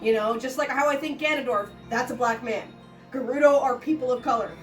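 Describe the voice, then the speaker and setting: A woman co-hosting a casual podcast, talking fast and bluntly with lots of swearing. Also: fairly high pitch, faint music behind. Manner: fast, blunt, profane, does playful impressions. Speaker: podcast co-host. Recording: echoey room, far from the mic.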